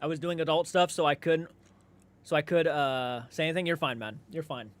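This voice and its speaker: Guy streamer with a kinda higher voice, chill casual chat, reads comments and swears a bit. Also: fairly even intonation, fairly quick, a little hiss or hum. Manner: laid-back, conversational, swears freely. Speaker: livestream host. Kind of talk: casual livestream chat, reading and answering viewer comments.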